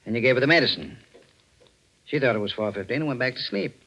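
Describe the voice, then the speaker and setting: Shrewd, accusing district attorney, old-time radio drama, deliberate phrasing, lively intonation. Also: male voice, mid-range voice, dull audio. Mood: probing. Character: stern, shrewd male district attorney confronting a killer. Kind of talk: 1940s radio acting.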